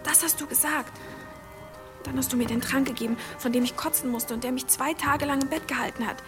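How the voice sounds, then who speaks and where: High-voiced, defiant young village woman played by actress. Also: fairly even intonation, a little fast, over music, natural voice. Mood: bitter, accusing. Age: young adult. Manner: intense, accusing. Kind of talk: German radio play acting.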